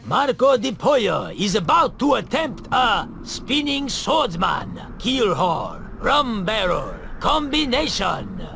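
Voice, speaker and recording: An actor playing a formal diving contest official in a video game, announcing ceremoniously. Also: male voice, high-pitched, huge pitch swings, slow, vocal fry, few pauses, pushed and bright, faint music behind, heavily acted.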